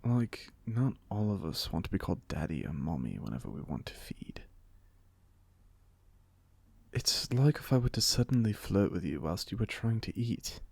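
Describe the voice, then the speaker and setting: Man playing a low-voiced, courteous British vampire. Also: sing-song, moderate pace, vocal fry, hardly any pauses, a little mellow, slightly rough, no room sound, full character voice. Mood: wry, mildly annoyed. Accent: British, put on by an American. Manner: polite, formal turns of phrase, wryly conversational. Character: genial, chatty, courteous centuries-old male vampire being interviewed. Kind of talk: ASMR audio roleplay monologue.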